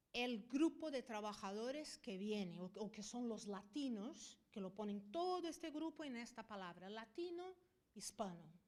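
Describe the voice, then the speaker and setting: Woman, high voice, Brazilian with a Spanish-from-Spain accent, middle-aged, conference lecture, keeps asking "ok?". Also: darkish tone. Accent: Brazilian Portuguese speaker with Spanish from Spain. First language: Portuguese. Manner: didactic, often checks in with a quick "ok?". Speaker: veterinarian and university professor giving a talk. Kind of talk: conference presentation.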